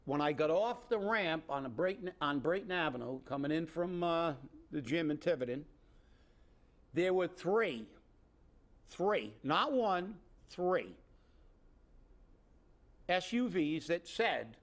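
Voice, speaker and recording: A man's voice: a little high, animated, slow pace, long pauses. A man co-hosting a local political talk show, ranting in an indignant, sarcastic way and rambling with restarts. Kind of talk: opinionated casual political chat.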